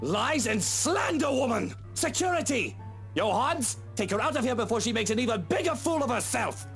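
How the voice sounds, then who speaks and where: A man's voice: very high voice, very animated, normal speed, fairly bright tone, soft background music, heavily acted. Man voicing a pompous showman host. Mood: indignant. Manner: theatrical, grandiose. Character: showy young rich male host, pompous. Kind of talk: game voice acting.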